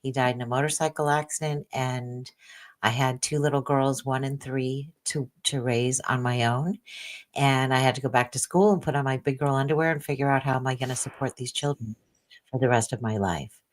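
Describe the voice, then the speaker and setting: A woman's voice: extremely low pitch, fairly even intonation, a little fast. A woman financial coach introducing herself on a panel in a bold, playful manner.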